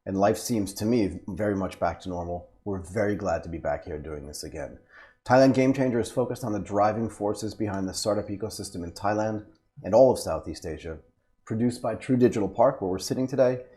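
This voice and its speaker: Mellow-voiced man hosting an interview. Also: lowish voice, brisk. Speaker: podcast host. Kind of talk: conversational interview.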